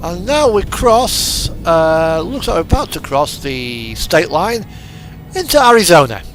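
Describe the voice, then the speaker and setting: Guy chatting over a truck game, kinda high voice w/ some creak, music and engine going behind him. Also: very animated, measured pace. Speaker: video game streamer narrating a truck simulator drive. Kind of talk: casual rambling game commentary. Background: music and vehicle engine sound.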